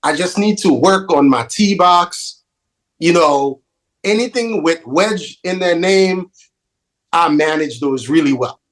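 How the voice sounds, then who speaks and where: A man's voice: fairly high pitch, slow, rough recording. A man from Haiti with a Haitian accent mixed with New York, hosting a casual interview chat and joking about himself as he talks. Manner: animated, self-deprecating, repeats phrases. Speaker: podcast host.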